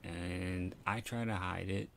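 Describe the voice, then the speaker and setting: Deep-voiced, slow South Philly man. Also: fairly even intonation, vocal fry, fairly bright tone, a little hiss or hum. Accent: South Philadelphia American. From Philadelphia, United States. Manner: rambling, halting, candid asides. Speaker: podcast host. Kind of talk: casual film commentary.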